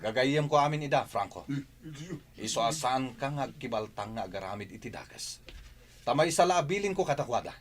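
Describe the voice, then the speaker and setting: A man's voice: mid-range voice, animated, fairly quick, slight background noise, natural voice. An actor playing a stern, righteous man confronting those who betrayed him, with an Ilocano accent, in a radio drama. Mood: challenging, resolute. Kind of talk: Filipino radio drama acting.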